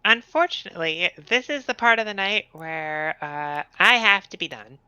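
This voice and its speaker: A very bright, sharp voice with a lively, swinging pitch, recorded close and dry with no room sound. The speaker teases the host in dry, deadpan banter during a casual game stream. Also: normal speed, clean tone, dry recording, lo-fi sound. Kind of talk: casual gaming stream chat.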